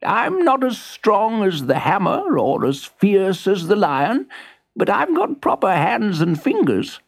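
A very old male toy monkey from a storybook, voiced by a storyteller, quiet and wise. He speaks in a high, slow, careful voice. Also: wildly swooping pitch, husky, heavily acted. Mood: gentle.

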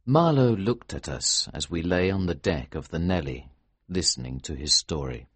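A man playing a quiet, observant narrator in an audiobook, with a husky voice. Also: sits low, expressive, normal speed, no room sound, full character voice. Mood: hushed, sombre. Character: quiet, observant male listener narrating aboard a boat.

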